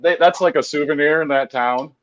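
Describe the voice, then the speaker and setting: A man's voice: a little high, fairly quick, a crowd in the background. A man in his fifties on a casual livestream panel, blunt and profane. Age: 50s. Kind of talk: casual group chat on a livestream.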